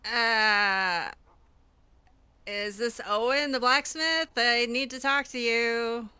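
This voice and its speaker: A woman improvises in character during a fantasy game playthrough. Her voice is bright and fairly high, her delivery unhurried, dry and sardonic, with music behind her. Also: smooth. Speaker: player role-playing a game heroine. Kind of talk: improvised in-character gameplay dialogue.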